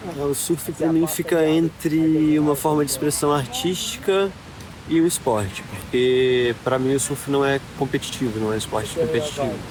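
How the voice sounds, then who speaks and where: A man's voice: on the high side, steady tone, fairly quick, a crowd in the background, poor audio. A man in his thirties from Minas Gerais in Brazil, a surfer giving reflective interview answers. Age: 30s.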